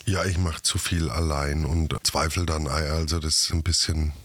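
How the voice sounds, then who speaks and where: A man's voice: rumbling low, fairly even intonation, moderate pace, fairly bright tone, dry recording. A man, a musician and cartoonist talking hesitantly in a casual podcast conversation, full of fillers and self-doubt. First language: German. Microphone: podcast microphone.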